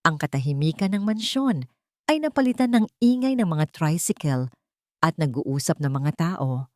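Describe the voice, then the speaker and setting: A woman's voice: deep voice, very animated, normal speed, mellow, no room sound, clean studio sound. A Filipino woman reading a scripted love story as a dramatic narrator.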